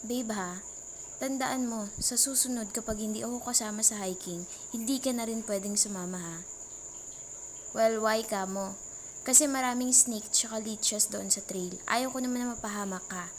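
High-voiced teasing Filipino girlfriend played by a woman, crickets behind. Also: moderate pace, lightly acted. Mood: possessive, affectionate. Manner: teasing, mock-threatening, sarcastic, switching between Tagalog and English. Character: mildly jealous young Filipino girlfriend, playful, possessive, teasing. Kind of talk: intimate girlfriend roleplay audio. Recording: noisy track with cricket and insect sounds.

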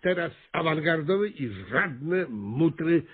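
Pompous, flustered mayor, very animated. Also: male voice, on the high side, slow, slightly rough, full character voice. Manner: officious, hesitant, exclaiming. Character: pompous, flustered small-town mayor, male. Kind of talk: comic radio play acting. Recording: thin, phone-like radio play recording.

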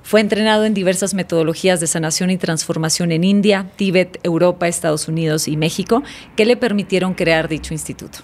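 A woman hosting a podcast, reading a prepared introduction and then chatting warmly and effusively with her guest in a studio. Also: fairly low pitch, fairly quick. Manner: warm, effusive, reads from paper. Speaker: podcast host. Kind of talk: scripted intro then casual interview. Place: dark studio set, indoors. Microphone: studio mic on boom arm.

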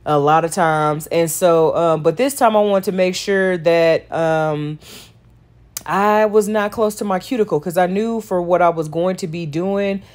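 A woman's voice: lowish voice, a little slow, darkish tone. A woman with a Texan accent, chatting casually to viewers as she narrates a nail tutorial. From Texas. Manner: chatty, talks to viewers as y'all and sis.

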